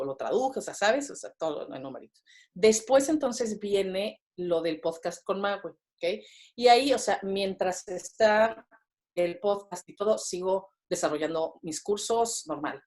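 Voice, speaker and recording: A Mexican woman in her thirties talks as a guest on a podcast. Her voice sits at a middle pitch. She chats freely and keeps looping back with fillers and quick tag questions. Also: a little mellow. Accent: Mexican Spanish. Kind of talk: casual interview conversation.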